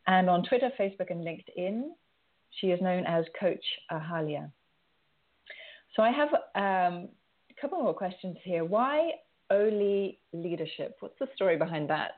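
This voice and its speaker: A woman radio host with a lowish voice leads a live interview, reading out contact details in an encouraging way. Her voice comes over a thin phone line. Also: expressive, normal speed.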